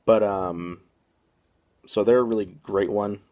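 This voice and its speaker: A man from the Boston area hosting a podcast, rambling along in casual chat with frequent "um" pauses. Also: fairly low pitch, steady tone, darkish tone. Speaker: podcast host.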